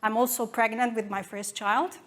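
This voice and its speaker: A woman's voice: a little high, fairly even intonation, moderate pace. A woman in her thirties from Romania with a Romanian accent, giving a conference talk with many fillers and restarts. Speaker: conference speaker.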